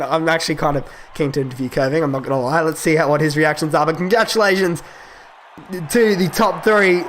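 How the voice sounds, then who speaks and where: A young man calling a sim racing event live, excitable and quick, his voice giving out and cracking. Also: high-pitched. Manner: excitable, quick calls that rise at overtakes. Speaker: esports race commentator. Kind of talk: live play-by-play race commentary.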